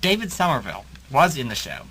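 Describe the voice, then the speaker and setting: A man's voice: medium pitch, huge pitch swings, fast talker, noisy background. A man chatting casually as a cast member and editor of an amateur audio drama. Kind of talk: casual chat.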